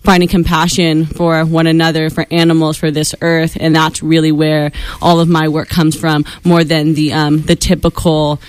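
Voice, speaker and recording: A young woman who is a radio host, talking as a guest in a studio interview in a chatty, quick way with frequent laughs. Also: deep voice, monotone, clear, resonant tone, no echo.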